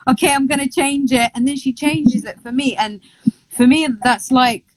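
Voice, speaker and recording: A woman's voice: on the high side, darkish tone, no echo, low-quality recording. Young woman, boxer guest, says "like" constantly, dry close mic. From Somalia, raised in London. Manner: chatty, lots of "like" fillers, laughs easily. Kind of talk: casual interview chat.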